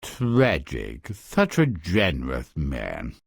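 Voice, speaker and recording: A man's voice: a bass voice, wildly swooping pitch, slow, creaky, slightly rough, no echo, studio quality, full character voice. An actor playing a pompous, genial king in a fairy-tale video game. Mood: mournful.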